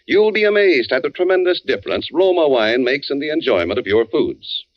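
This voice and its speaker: A man's voice: fairly high pitch, animated, a little fast, dry recording. An American man reading radio advertising as a polished, persuasive 1940s announcer. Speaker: commercial announcer. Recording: muffled old radio recording.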